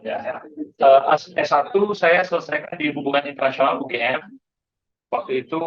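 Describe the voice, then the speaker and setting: An Indonesian man in his late thirties lectures in an informal, conversational way. His voice sits at a middling pitch, and he often drops in short tags to check that listeners follow. The recording is somewhat dull and low in fidelity. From Bengkulu, Indonesia. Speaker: university lecturer. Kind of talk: informal lecture to students, mixing in English phrases.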